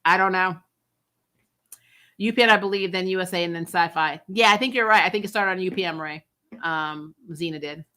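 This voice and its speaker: Bright-voiced woman, blunt fan commentary. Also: fairly low pitch, a little fast. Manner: blunt, conversational. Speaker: host of a TV recap show. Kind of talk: casual opinionated fan commentary.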